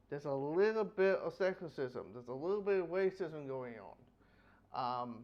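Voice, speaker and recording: A man giving a casual, rambling review, with halting delivery, frequent false starts and repeated words. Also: mid-range voice. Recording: dull lo-fi microphone sound.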